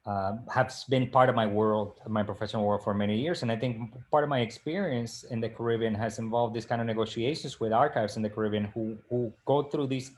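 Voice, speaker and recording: A middle-aged man from the Dominican Republic, co-hosting a casual video-call discussion, speaking haltingly with many fillers and restarts. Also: lowish voice, fairly even intonation, darkish tone. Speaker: librarian and co-host. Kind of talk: casual live-stream discussion.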